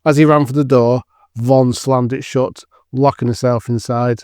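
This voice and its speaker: A male narrator reads a scripted dramatic story. His voice is smooth and clear, of medium pitch, in a very dry recording. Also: fairly even intonation, moderate pace, a little mellow, no room sound.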